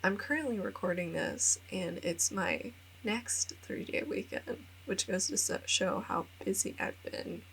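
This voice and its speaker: A woman's voice: mid-range voice, lively intonation, unhurried, creaky, few pauses, fairly bright tone, a little hiss or hum, rough recording. A young American woman in her twenties talking casually in a vlog voice-over. She rambles a little, says "like" often, laughs at herself and sounds upbeat and self-deprecating. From United States. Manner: chatty, rambling, many filler likes, laughs at herself. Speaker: vlogger and English teacher in Japan.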